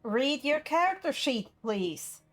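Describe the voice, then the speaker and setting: A woman's voice: sits high, very animated, slow pace, fairly bright tone, soft background music. A woman running a tabletop roleplaying game as game master, firm and matter-of-fact.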